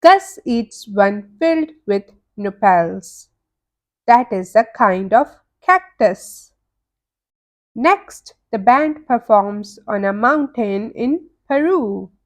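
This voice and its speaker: Female storybook narrator, high and animated, extremely unhurried delivery for small children. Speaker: children's read-aloud narrator.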